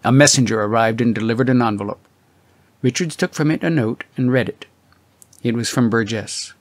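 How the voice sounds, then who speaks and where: A man's voice: medium pitch, brisk, no echo. Man narrating an audiobook, dry sound. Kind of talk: scripted literary narration.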